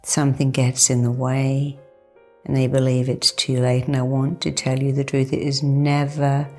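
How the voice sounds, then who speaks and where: Low, creaky woman guiding meditation. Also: a little mellow. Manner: deliberate, repetitive emphatic phrasing, reassuring. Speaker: guided meditation host, therapist. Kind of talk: guided meditation, soothing hypnotherapy-style instruction.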